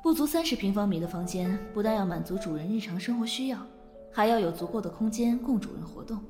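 A woman acting as a reserved company director in a radio drama. Her voice is medium in pitch, calm and controlled, caring yet decisive. Faint music sits behind it. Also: fast, lightly acted. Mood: thoughtful. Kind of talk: Mandarin audio drama acting.

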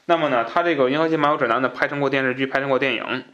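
Fast-talking man hosting a podcast. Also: mid-range voice, pushed and bright, dull audio. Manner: conversational, explanatory, repeats himself for emphasis. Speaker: podcast host. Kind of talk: casual book discussion.

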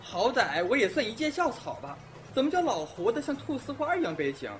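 A man's voice: extremely high pitch, big pitch swings, fairly quick, faint music behind. A man playing a vain, self-pitying teenage schoolboy in a radio drama.

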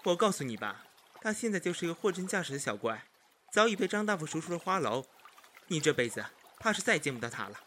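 Man acting haughty young gentleman, high and swooping. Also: big pitch swings, slight background noise. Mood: exasperated, scornful. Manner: mocking, theatrical, drawn-out emphasis. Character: haughty, jealous young gentleman in ancient China. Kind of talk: period audio drama acting.

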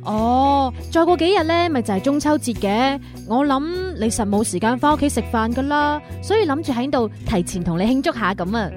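Coy young woman, high voice, teasing and homely, radio drama, light music behind. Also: lively intonation, fairly quick, hardly any pauses, darkish tone.

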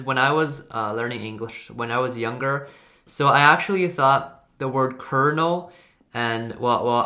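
A young man whose first language is Chinese talks casually about learning languages. He rambles a little and often corrects himself mid-sentence. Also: mid-range voice. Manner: relaxed, rambling, self-correcting. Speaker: language video host. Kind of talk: casual talk to camera.

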